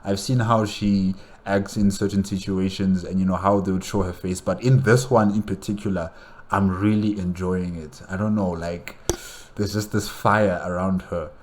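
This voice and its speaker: A man giving casual, halting reaction commentary, rambling and repeating phrases as he goes. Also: deep voice, fairly even intonation, darkish tone, slight background noise. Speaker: reaction video host.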